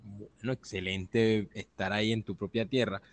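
A man's voice: on the low side, level tone, moderate pace, dry recording. A man hosting an interview, speaking politely and wordily and repeating his phrases. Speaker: interview host.

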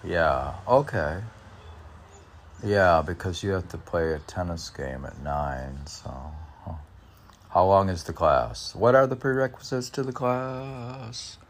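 Male poet, extremely low voice with heavy fry, musing through self-posed questions and answers; noisy amateur recording. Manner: halting, musing, repeats questions back to himself. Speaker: poet reading and discussing his own work.

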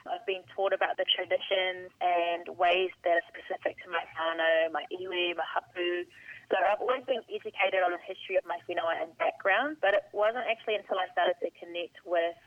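A woman's voice: fairly low pitch, steady tone, fairly quick, no room sound. New Zealand woman on a phone line. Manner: earnest and reflective. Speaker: podcast guest. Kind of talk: casual interview. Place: remote, on a phone call.

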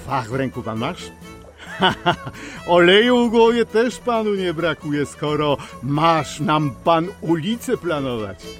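Casting: jovial, blunt small-town magistrate, higher-pitched and slow, folksy teasing, music underneath. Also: male voice. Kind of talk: radio play acting.

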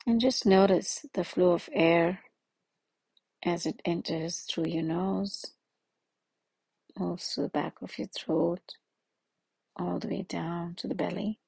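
A woman leading a guided meditation in a slow, soothing manner with long pauses. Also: lowish voice, steady tone, creaky, warm tone, husky, dry recording, rough recording.

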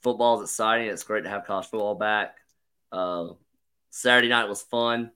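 Male podcast co-host, slightly hoarse, lowish voice with a forceful edge, measured sports talk. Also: steady tone. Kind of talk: casual sports chat.

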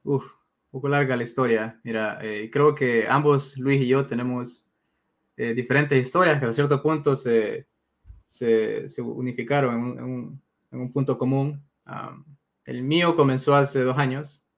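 A man's voice: medium pitch, steady tone, unhurried, vocal fry. A man from El Salvador, a guest answering interview questions thoughtfully with many fillers over a video call.

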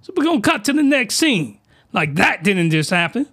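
A man's voice: high voice, big pitch swings, moderate pace, bright, forceful tone. A man hosting a podcast, recapping an anime in a rambling, mock-outraged way, losing his voice as it cracks. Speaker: podcast host.

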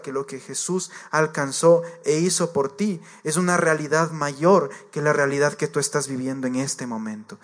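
A man's voice: a little high, a bit bright. A man preaching a sermon to a congregation, earnest and direct, repeating his points and addressing the listeners as believers.

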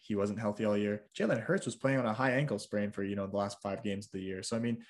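Low-voiced man riffing on football. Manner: joking, opinionated, quick back-and-forth. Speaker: podcast co-host. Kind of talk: casual sports chat.

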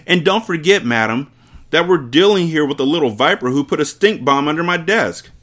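A man reading aloud and voicing a huge, bullying headmistress who barks and shouts with contempt. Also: a little high, animated, fairly quick, pitched above the performer's own voice. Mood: bellowing scornful. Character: bullying hulking female headmistress, furious.

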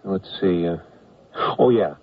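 Easygoing, lowish-voiced army lieutenant. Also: male voice. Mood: mild, easygoing. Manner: halting, informal. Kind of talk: old-time radio comedy acting. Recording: tinny vintage radio recording.

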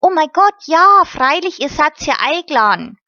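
A woman's voice: high-pitched, sing-song, moderate pace, sharp tone, no room sound, voice pushed up, full character voice. A woman reading the part of a cheerful, grateful young girl with folksy southern German turns of phrase. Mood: eager. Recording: slightly muffled recording.

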